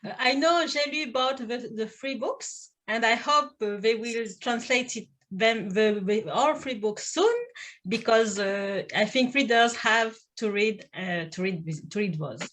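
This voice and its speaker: A woman's voice: a little high, measured pace, fairly bright tone. A woman with a French accent moderating an author interview in English, her second language, speaking hesitantly with restarts. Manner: hesitant, laughs self-consciously. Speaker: festival interviewer.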